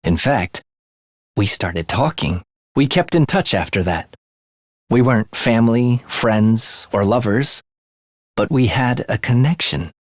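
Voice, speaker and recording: An actor playing a morbid young man in a video game, a soft-spoken killer who is languid, menacing and gleefully excited by death. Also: mid-range voice, no echo, clean studio sound, lightly acted. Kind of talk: theatrical video game voice acting.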